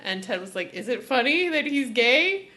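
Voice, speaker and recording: A woman's voice: a little high, big pitch swings, moderate pace, pushed and bright. A woman co-hosting a podcast in casual chat, with a wry, joking way of talking. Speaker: podcast co-host. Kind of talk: casual chat about a TV episode.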